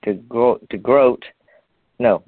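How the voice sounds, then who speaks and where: A man's voice: medium pitch, slow pace, husky, no room sound. An older man from Canada hosting a health talk, reading aloud from an article and stumbling over hard words. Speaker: program host. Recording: tinny phone line.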